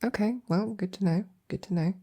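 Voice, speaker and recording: A woman playing a loving, flustered lady knight in an intimate roleplay audio drama, speaking softly and haltingly with tender, teasing affection. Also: mid-range voice, close to an everyday voice. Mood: flustered, curious. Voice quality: soft, breathy, husky. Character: loving, flustered female royal knight courting a princess.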